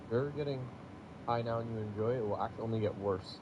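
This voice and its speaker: A man whose first language is Arabic, co-hosting a casual podcast chat in a relaxed, joking manner. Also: fairly low pitch, quick pace. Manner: laid-back, joking. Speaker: podcast co-host. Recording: muffled with loud background noise.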